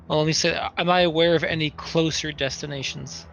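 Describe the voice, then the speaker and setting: A man talking casually as a player in a tabletop roleplaying game, deliberately reasoning things out aloud. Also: on the high side, fairly even intonation, gravelly, fairly bright tone, a little hiss or hum.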